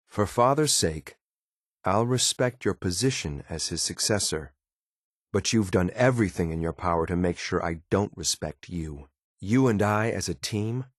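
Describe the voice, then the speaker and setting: An actor playing a stern, formal, dutiful synth soldier in a video game, speaking in a clipped, controlled military manner. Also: male voice, lowish voice, dry recording, studio quality. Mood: disappointed, candid. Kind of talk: video game companion voice lines.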